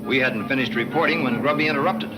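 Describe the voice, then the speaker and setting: An actor playing a hurried, deferential henchman reporting to his boss in a 1940s film serial. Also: mid-range voice, natural voice. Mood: anxious reporting. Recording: distant old film soundtrack, loud music.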